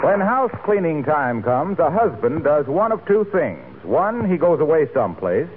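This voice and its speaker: A man with an American accent announcing and pitching a sponsor in polished, genial 1940s radio style. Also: big pitch swings, moderate pace. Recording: tinny old radio recording, background hiss.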